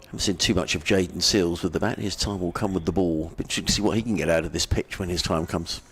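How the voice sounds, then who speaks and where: A middle-aged man with a very deep voice commentates on cricket, friendly and meandering, drifting from the play into chatty asides. His delivery is relaxed and conversational. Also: fast talker, slight background noise. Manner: rambling, friendly, lots of fillers and restarts.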